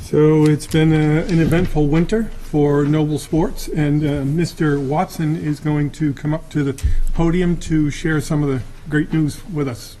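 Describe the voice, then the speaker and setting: Male staff member, friendly intro, mellow warm tone. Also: on the high side, steady tone, unhurried, hardly any pauses, a little hiss or hum, poor audio. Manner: friendly, reassuring. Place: large meeting room.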